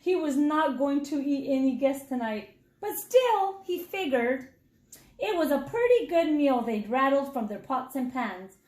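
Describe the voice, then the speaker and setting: A woman with a high voice reads a story aloud for children. She is expressive and talks straight to her young listeners like a teacher. The room gives her voice a clear echo. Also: unhurried. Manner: expressive, addresses children directly. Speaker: reading intervention teacher. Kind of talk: children's read-aloud narration with questions to listeners. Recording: echoey room sound.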